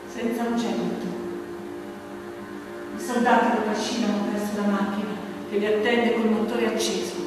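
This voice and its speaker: Actress as serious woman narrator, suspenseful theatre reading, highish voice, big echoey hall. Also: lightly acted. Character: grave storyteller recounting a political killing. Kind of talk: dramatic scripted stage narration. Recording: distant, very echoey hall, music behind. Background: music.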